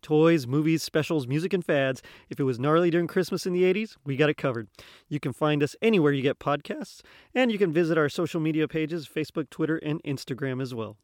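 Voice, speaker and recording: A man's voice: on the high side, fairly quick, darkish tone, no echo, studio quality. A man reading a scripted story aloud as a guest narrator. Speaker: guest reader and podcaster.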